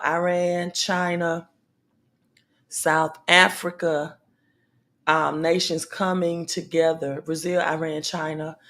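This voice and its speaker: Woman, slightly raspy, lowish voice, very slow, halting with fillers, livestream host addressing viewers. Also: fairly bright tone. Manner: slow, halting delivery with many pauses and fillers; calls out to viewers directly. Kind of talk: casual livestream talk on money news.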